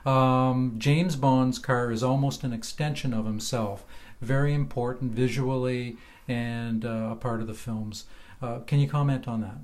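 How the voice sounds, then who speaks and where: Halting male podcast host. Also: mid-range voice, fairly even intonation, darkish tone. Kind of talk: interview questions to a guest.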